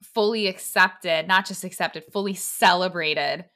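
A woman co-hosting a podcast in a reflective conversation, making her points deliberately in short, measured phrases. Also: fairly low pitch, pushed and bright. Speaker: podcast co-host.

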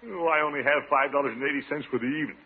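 An actor playing a pompous, penny-pinching, middle-aged suitor who blusters and mutters asides in an old-time radio comedy. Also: male voice, fairly high pitch, rapid-fire, slightly rough. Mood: flustered. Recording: tinny, noisy vintage radio recording.

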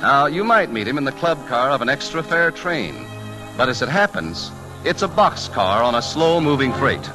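Animated male 1940s radio announcer over music. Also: mid-range voice, moderate pace, low-quality recording. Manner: formal, theatrical. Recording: old 1940s radio broadcast.

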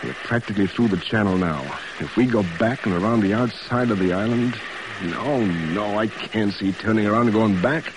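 A man's voice: lowish voice, normal speed, lightly acted. Man as tense ship's captain, noisy old recording. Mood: torn, tense. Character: decisive, anxious merchant ship captain under wartime strain. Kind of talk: old-time radio drama acting.

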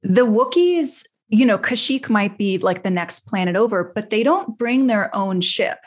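A woman's voice: mid-range voice, expressive, unhurried. Woman chatting as podcast co-host. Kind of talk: casual podcast discussion.

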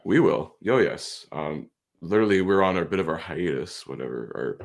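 A man's voice: deep voice, animated, normal speed, creaky. A man co-hosting a podcast, laid-back and slangy, laughing along in casual banter. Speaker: podcast co-host.

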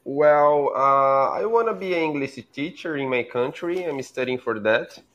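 A man's voice: mid-range voice, lively intonation, normal speed, fairly bright tone, highs cut off. A young man from Brazil joining a live call as a guest, speaking careful, hesitant second-language English. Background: music playing.

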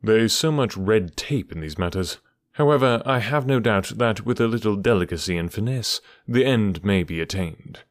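A man's voice: on the low side, animated, moderate pace, hardly any pauses, no echo, close to an everyday voice. A man voicing a cool, precise male detective with a dry, ironic manner in an audiobook. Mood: cool, measured. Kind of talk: audiobook character voice.

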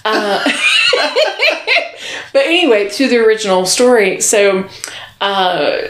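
A woman's voice: mid-range voice, slow, echoey room, heavy background noise. A woman co-hosting a podcast in casual chat, giggly and profane as she banters. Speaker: podcast co-host.

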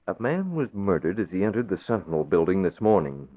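An actor playing a calm, measured newspaper publisher who presses with pointed questions, in 1940s radio acting style. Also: male voice, sits low. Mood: probing. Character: cool, probing male newspaper publisher. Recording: tinny phone-like old radio recording.

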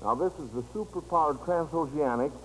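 American guy hosting an old time radio quiz, folksy, highish voice, bit of hiss. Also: a little fast, a bit bright. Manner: folksy, addresses listeners as friends. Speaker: quiz show host. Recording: noisy vintage radio broadcast recording.

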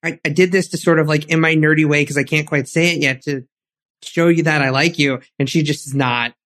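A woman co-hosting a casual chat podcast, animated and quick to laugh as she retells favorite moments. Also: low-pitched. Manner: animated, quick to laugh, tells sports stories with enthusiasm. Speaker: podcast co-host.

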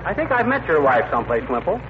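A man acting an excitable, blustering, folksy husband in a 1940s radio comedy. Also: on the high side, quick pace. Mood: curious, jovial. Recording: noisy, tinny old radio recording.

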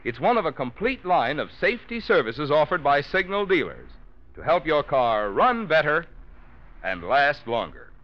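A man's voice: on the high side, big pitch swings, moderate pace. A man announcing for a radio sponsor in scripted 1940s style. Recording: dull old radio recording.

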